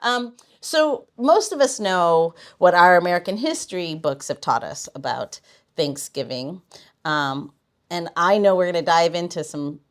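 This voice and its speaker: A woman's voice: lowish voice, lively intonation, measured pace. A woman hosting a podcast, chatting casually with her guest and asking long, rambling questions. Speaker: podcast host. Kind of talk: casual chat, interviewing a guest.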